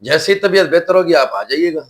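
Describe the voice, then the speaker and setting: Man acting a slightly high-voiced dutiful elder son. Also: quick pace, lightly acted. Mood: hesitant, persuading. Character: dutiful adult elder son, deferential, anxious, persuadable. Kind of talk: Urdu moral short-film acting.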